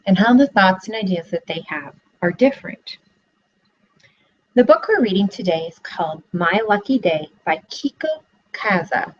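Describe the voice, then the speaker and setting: A young woman teacher giving a read-aloud lesson for children, asking questions in an expressive way, recorded on a laptop webcam in a living room. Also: mid-range voice. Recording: dull lo-fi webcam audio.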